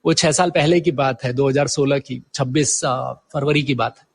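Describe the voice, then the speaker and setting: A man's voice: medium pitch, fairly even intonation, moderate pace, creaky, slightly rough. A middle-aged man from India talking reflectively in an interview, mixing in English words and telling anecdotes conversationally. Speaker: guest poet and professor.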